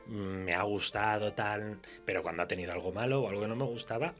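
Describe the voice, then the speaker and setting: A man's voice: fairly low pitch, fast, fairly bright tone, faint music behind. A man with a Castilian Spanish accent chatting candidly and colloquially as a writer being interviewed on radio. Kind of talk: casual conversational radio interview.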